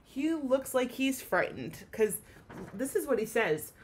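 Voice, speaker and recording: A woman with a fairly high voice leads a read-aloud lesson for children. She speaks as a teacher, with expression, and puts ideas into simple words for young listeners. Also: sing-song, a little slow, breathy edge.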